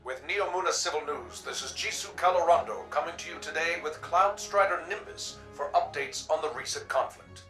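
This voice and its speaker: An actor playing a polished, formal city news broadcaster, probing guests in a video game radio interview. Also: male voice, fairly high pitch, animated, bright, forceful tone, roomy sound, far from the mic, soft background music. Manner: formal, measured announcer phrasing.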